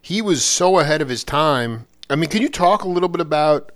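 Male interviewer, fairly high voice, loose slangy halting questions. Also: expressive, a bit bright. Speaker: interviewer host.

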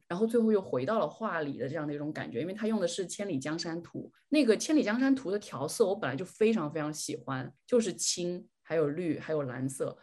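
A woman's voice: fairly low pitch, darkish tone. A woman co-hosting a podcast in a casual, conversational chat style. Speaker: podcast co-host.

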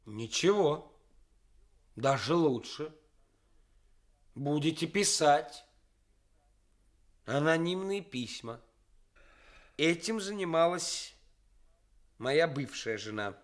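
An actor playing a brazen young stage actor who poses as a cynical swindler fiancé in a Soviet radio play, pompous and deliberate with emphatic pauses. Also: male voice, a little high, lively intonation. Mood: businesslike, cynical. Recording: studio radio play with music.

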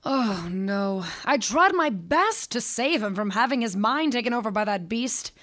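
A woman's voice: fairly high pitch, lively intonation, measured pace, fairly bright tone. A woman playing a haughty psychic to the stars in an audio drama, dry, aloof and needling.

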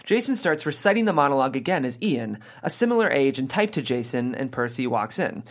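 Casting: male script narrator, lively varied intonation, medium pitch. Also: fairly quick. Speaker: narrator reading stage directions. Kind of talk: scripted narration at a table read.

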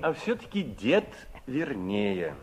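An actor playing a kindly, wistful man of sixty-five, musing and gently teasing, in a 1960s Soviet radio play. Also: medium pitch, sing-song, slow pace, slight background noise. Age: older. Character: kindly, wistful, teasing 65-year-old veteran revolutionary.

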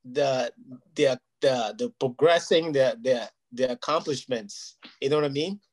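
A man's voice: mid-range voice, a little slow. Man, Portuguese native speaker, interview guest, earnest, rambling, casual chat. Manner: earnest, rambling, keeps asking "you know what I mean".

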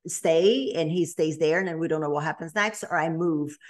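Brazilian lady in her late 30s chatting on a podcast, kinda low voice, lots of ums. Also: moderate pace. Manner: chatty, storytelling, many ums and restarts. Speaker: guest. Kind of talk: casual podcast interview.

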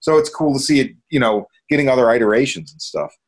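A man's voice: mid-range voice, quick pace. A man hosting a podcast, chatting casually in a rambling, enthusiastic way. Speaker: podcast host. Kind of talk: casual review chat.

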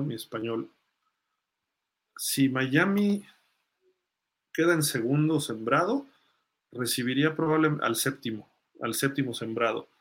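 A man hosts a live sports fan show in a casual, conversational way. His voice sits at a medium pitch. He reasons things through aloud as he responds to comments from listeners. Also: fairly bright tone.

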